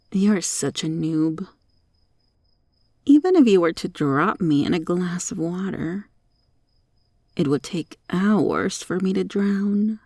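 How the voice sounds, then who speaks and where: A woman voicing a sly, teasing monster cockroach girl in an ASMR roleplay, taunting and coaxing with deliberate, sometimes whispery delivery. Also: on the low side, dark tone, no room sound, studio quality, heavily acted.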